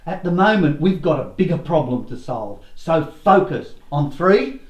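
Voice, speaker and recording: A man's voice: on the high side, expressive, normal speed, roomy sound, highs cut off, close to an everyday voice. A man playing a scheming, indignant radio actor in a comic radio play. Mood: conspiratorial.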